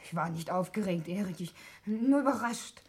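An actress playing an anxious, defensive young heiress in a 1960s German radio play, hesitant and indignant when challenged. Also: female voice, medium pitch, expressive, normal speed, a little mellow, breathy edge. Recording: faintly noisy 1960s radio recording.

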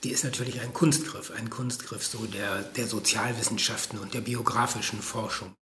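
A male professor and education researcher explaining his ideas in a thoughtful, measured interview. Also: lowish voice, fairly even intonation, bright, forceful tone, slight background noise. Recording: roomy echo.

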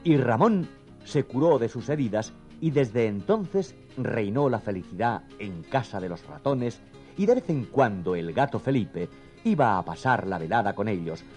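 A man's voice: medium pitch, animated, moderate pace, darkish tone, soft background music. A man narrating a scripted children's story as the storyteller.

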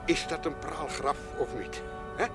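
An actor playing a lonely, gruff rural man who speaks in short, plain, colloquial phrases in a Dutch period TV drama. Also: on the high side, measured pace, lightly acted. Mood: tender, mournful. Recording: 1970s TV soundtrack with music.

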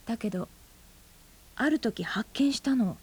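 Husky, brooding aunt. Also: female voice, on the high side, lively intonation, a little slow. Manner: soft, often half-whispered, earnest. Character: brooding, bitter woman aunt, a schoolteacher. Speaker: high school teacher. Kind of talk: radio drama acting.

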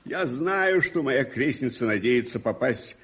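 An actor playing an opinionated, wealthy older lord in a radio play, speaking in a pompous, emphatic, declamatory way. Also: male voice, medium pitch, expressive, measured pace, breathy edge. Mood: emphatic, scoffing. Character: opinionated wealthy older English lord, teasing and protective godfather. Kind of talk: 1980s Soviet radio play acting. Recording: muffled 1980s radio drama recording.

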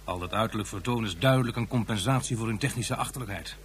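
A man's voice: on the low side, fast, a little hiss or hum, lightly acted. A man playing a lecturing aide. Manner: lecturing, matter-of-fact. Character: dry, lecturing male aide.

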